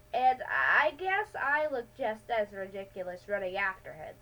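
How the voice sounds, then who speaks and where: A woman giving an amateur dramatic reading of a children's adventure story, halting and correcting herself now and then. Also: mid-range voice, fairly bright tone, rough recording. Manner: halting, with stumbles and self-corrections.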